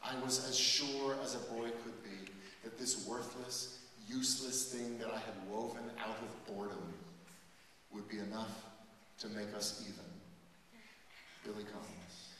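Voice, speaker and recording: A man's voice: on the low side, fairly even intonation, measured pace, a little hiss or hum. Man reciting poetry in an echoey hall. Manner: dramatic, swings between loud emphasis and hushed lines. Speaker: performance poet. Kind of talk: spoken-word poetry reading to a student audience. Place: large school hall with a live audience. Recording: echoey hall recorded from far off.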